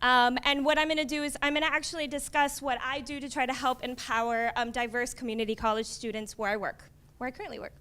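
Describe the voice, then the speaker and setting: A woman's voice: sits high, steady tone, fast talker, sharp tone, smooth. A young woman from Miami, a community college librarian, giving a conversational conference presentation with wry asides. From Miami, USA.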